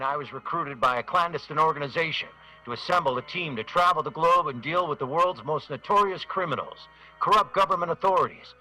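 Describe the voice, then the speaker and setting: An actor playing a world-weary semi-retired mercenary turned private detective, narrating an old-time radio adventure in a wry way. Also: male voice, fairly high pitch, pushed and bright, exaggerated voice, low-quality recording. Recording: music bed behind the voice.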